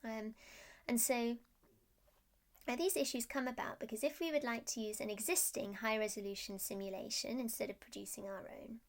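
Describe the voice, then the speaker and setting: A woman's voice: fairly high pitch, steady tone, moderate pace. A woman presenting research as a scientist, explaining technical slides in a hesitant, stop-start way.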